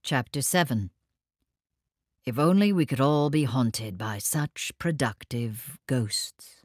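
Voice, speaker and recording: An actress playing a grave, measured woman who reads letters and announces chapters in an audio drama. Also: a bass voice, animated, slightly rough, dry recording, clean studio sound, exaggerated voice. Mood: wry. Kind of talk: scripted audio drama acting.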